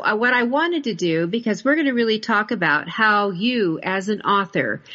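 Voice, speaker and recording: A woman with a lowish voice hosts a podcast interview. She talks in a folksy, emphatic, colloquial way, and the audio is a bit dull. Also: fairly bright tone. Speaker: podcast host. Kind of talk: conversational interview hosting.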